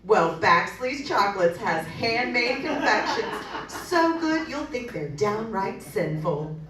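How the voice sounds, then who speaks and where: A woman's voice: medium pitch, very animated, takes it slowly, a crowd in the background. Woman for playful scripted radio spot, theatrical and tongue-in-cheek, very echoey, off-mic. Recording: very echoey, distant, faint noise.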